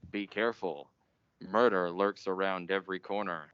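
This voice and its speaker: Man acting a deep, pompous radio mystery host. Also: fairly even intonation, normal speed, close to an everyday voice. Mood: ominous. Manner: theatrical, portentous, grandiose. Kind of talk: old-time radio mystery narration. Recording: muffled, noisy amateur stage recording.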